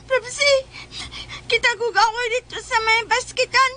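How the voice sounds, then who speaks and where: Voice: very high voice, steady tone, measured pace, pushed and bright, full character voice. A performer voicing a whiny, complaining young school child with a Cebuano accent in a comedy skit. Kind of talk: comedy radio-style skit in Bisaya.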